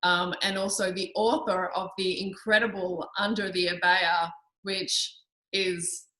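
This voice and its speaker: A woman's voice: fairly low pitch, level tone, unhurried, echoey room, poor audio. A woman hosting a video-call interview, encouraging and affirming her guest often. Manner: warm and encouraging, affirms often with "I know" and "absolutely". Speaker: interview host.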